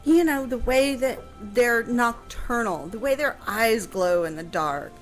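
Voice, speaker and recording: A woman explaining things conversationally on a radio show, thoughtful and pausing mid-phrase as she goes. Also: fairly high pitch, soft background music. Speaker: radio guest answering history questions.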